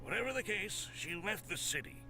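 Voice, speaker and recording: A woman voicing a dutiful male city guard in a lowered, gravelly put-on voice. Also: expressive, brisk, natural voice. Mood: matter-of-fact. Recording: clear game music behind.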